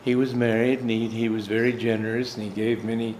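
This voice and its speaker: A man's voice: fairly low pitch, little pitch movement, brisk, darkish tone, slight background noise. American man telling a story in an echoey room. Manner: meandering anecdotal storyteller. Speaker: swami, spiritual teacher. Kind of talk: personal storytelling talk. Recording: echoey room, faint ambience.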